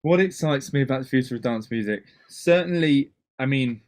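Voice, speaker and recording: A man from Wales, a DJ and producer, chatting as a guest in an interview, talking in quick bursts with many restarts. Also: medium pitch, expressive, darkish tone. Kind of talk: casual interview chat.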